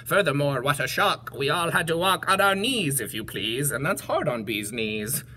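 A woman's voice: low voice, animated, normal speed, pushed and bright, a little hiss or hum. A woman voicing fussy, worried storybook bees, complaining primly in fretful rhyme. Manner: rhyming, fretful.

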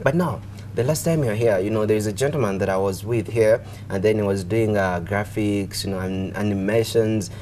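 A man's voice: on the low side, moderate pace, mellow. A young man with a Ugandan accent hosting a casual TV interview, talking in a chatty, repetitive way. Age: young adult. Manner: chatty, repetitive, punctuated with "you know". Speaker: TV host. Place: TV studio. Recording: clearly audible background noise.

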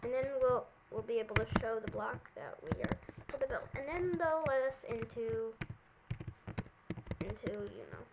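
A woman's voice: on the high side, normal speed. A girlish female host chatting casually and a little bossily while roleplaying in a video game. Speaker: Minecraft video host playing Elizabeth in a roleplay. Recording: noisy home microphone with clicks.

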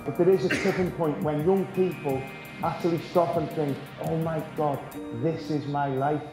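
A man's voice: a little high, fairly even intonation, moderate pace. A man giving a motivational keynote talk as a conference speaker. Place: large conference hall. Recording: roomy hall, music over it.

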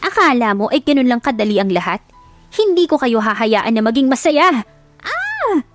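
Woman acting out a shrill, jealous young rival. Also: wildly swooping pitch, normal speed, no room sound, faint music behind. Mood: vengeful rage. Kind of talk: dramatic audiobook character acting.

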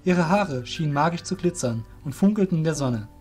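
A male narrator tells a fantasy tale in a fairly high voice. His intonation is animated and varied, with faint music playing underneath. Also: a little fast. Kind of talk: scripted storytelling narration for a fantasy audio drama.

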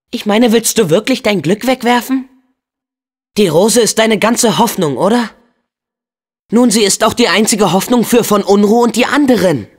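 An actor voicing an earnest, excitable teenage boy hero in a video game, with a youthful, expressive and eager delivery. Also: sits high. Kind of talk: video game character dubbing.

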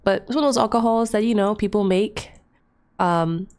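A woman's voice: mid-range voice, normal speed, darkish tone. A young woman with a stuffed-up nose narrating her life-simulation game casually, rambling with lots of fillers into a desktop microphone. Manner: chatty, rambling, with many fillers. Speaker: Let's Play creator. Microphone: desktop USB mic.

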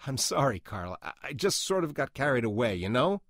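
A man's voice: mid-range voice, sing-song, a little fast, a bit bright, no echo, studio quality, voice pushed up. A man voicing a pushy, manipulative record company man who coaxes and needles insistently in a dramatic audiobook reading. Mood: placating, persuasive.

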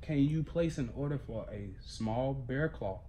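A man's voice: medium pitch, unhurried, warm tone. A young American man making a prank phone call in casual, slangy, mock-earnest talk. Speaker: prank caller. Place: living room, on a couch indoors. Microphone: camera a short distance away. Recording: noisy room, picked up by camera.

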